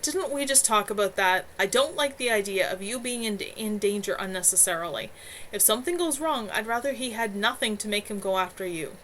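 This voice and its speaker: A woman's voice: mid-range voice, expressive, a little fast, fairly bright tone, a little hiss or hum, close to an everyday voice. A woman reading as a stubborn hotheaded young man. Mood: argumentative.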